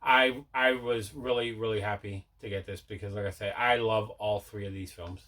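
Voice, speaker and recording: A man's voice: fairly low pitch, fairly even intonation, normal speed, bright, forceful tone. A man hosting a casual mail-day video about his movie collection, talking in a repetitive, enthusiastic way.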